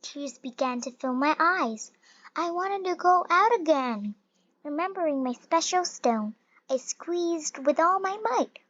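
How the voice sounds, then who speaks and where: Storybook reader, high childlike voice, unhurried and animated, close dry lo-fi sound. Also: no room sound. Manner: slow, deliberate, expressive storytelling. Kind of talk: read-aloud of a rhyming children's picture book. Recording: dull lo-fi recording, little room sound.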